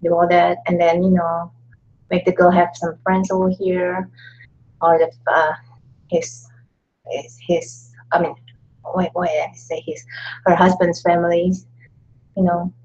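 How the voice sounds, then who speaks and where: Woman, husky, lowish voice, halting casual chat, lo-fi audio. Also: darkish tone. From Indonesia. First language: Indonesian. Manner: halting, chatty, laughs often. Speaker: co-host. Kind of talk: casual live-stream chat.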